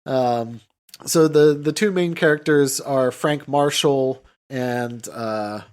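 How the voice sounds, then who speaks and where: A male podcast host with a middle-pitched voice talks casually through a film. His delivery is halting and colloquial, with swearing dropped in freely. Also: darkish tone. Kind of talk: casual film discussion and recap.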